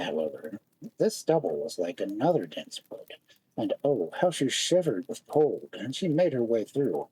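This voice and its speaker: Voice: medium pitch, dark tone, husky, poor audio. A storyteller narrating a fairy tale expressively, with dramatic, lingering phrasing.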